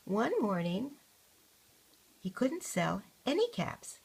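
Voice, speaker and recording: An older woman reading a children's story aloud as a grandmother would, with deliberate, dramatic emphasis. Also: mid-range voice, sing-song, vocal fry, background noise.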